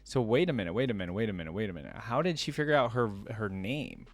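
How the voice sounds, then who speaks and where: A man's voice: fairly low pitch, sing-song, fast talker. A man hosting a reaction video, reading a story aloud and breaking in with informal, exclaiming commentary. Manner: informal, exclaiming, interjecting his own commentary.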